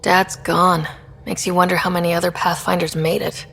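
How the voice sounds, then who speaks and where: A young woman starship leader from a video game. Her voice sits a little low, conversational and steady with a wry edge. Music plays faintly behind her. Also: normal speed, creaky. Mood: matter-of-fact.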